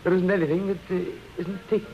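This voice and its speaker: An actor in a 1930s radio drama playing an evasive, polite hotel manager, hesitant and apologetic. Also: male voice, sits high, flat delivery, fast talker, slight background noise. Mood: awkwardly polite. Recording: dull 1930s radio recording.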